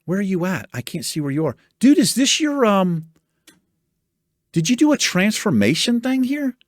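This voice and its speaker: A chatty American man with a soothing voice reads viewer comments in a lively, swinging way. He swears casually and talks like he's among friends. The sound is dry and close, with no room echo. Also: high voice, moderate pace. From United States. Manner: animated, chatty, swears casually, laughs often. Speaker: YouTube host reading viewer comments. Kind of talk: casual chat.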